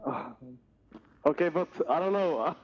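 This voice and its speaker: A man's voice: sits high, breathy edge, lo-fi sound. A young man from Sweden talking casually in an online game's voice chat through a gaming headset. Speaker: player in an online game.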